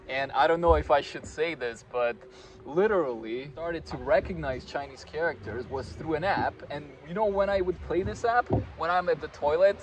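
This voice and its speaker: A man in his thirties from Croatia, chatting casually as a guest at a park table, with lots of fillers and self-deprecating asides. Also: a little high. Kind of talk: casual conversation. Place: park table outdoors on a sunny day. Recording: outdoor traffic noise.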